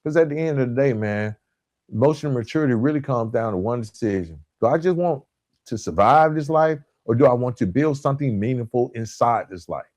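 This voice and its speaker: A man delivers a motivational podcast monologue at a medium pitch. He is earnest and emphatic, breaking his points into short, deliberate phrases and repeating key words to drive them home. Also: expressive, a little mellow. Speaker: podcast host.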